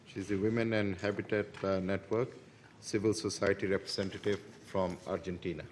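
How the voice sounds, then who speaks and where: A man with a fairly low voice acts as panel chair, making formal introductions. Faint noise sits behind him. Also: flat delivery, brisk.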